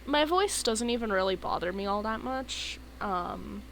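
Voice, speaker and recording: A woman's voice: medium pitch, lively intonation, a little slow, creaky, fairly bright tone, a little hiss or hum, rough recording. A woman streaming a game and chatting casually, sleepy and yawning, who laughs often and swears casually. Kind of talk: casual live-stream chat.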